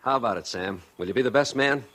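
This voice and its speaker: An actor playing a proud, touchy, plain-spoken young former football hero in a 1940s radio drama. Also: male voice, mid-range voice, fast, a bit bright, close to an everyday voice. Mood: easygoing. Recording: vintage 1940s radio recording.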